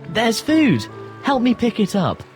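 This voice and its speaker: A man voicing a young, polite, sad duckling in a children's storybook, with earnest, simple, expressive delivery. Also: very high-pitched, unhurried, faint music behind, heavily acted. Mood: hungry, relieved. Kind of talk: children's storybook app voice acting.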